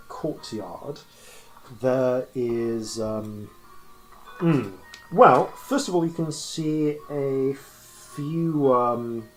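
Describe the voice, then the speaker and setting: A man running a tabletop game as game master, describing scenes off the cuff and haltingly as he thinks aloud. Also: medium pitch, poor audio. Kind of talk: improvised narration of a tabletop game.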